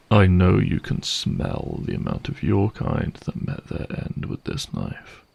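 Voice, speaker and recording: A man playing a cynical, menacing vampire hunter in an audio roleplay, speaking deliberately and sardonically with a gravelly voice. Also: fairly low pitch, exaggerated voice. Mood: probing, wry. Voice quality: gravelly, creaky.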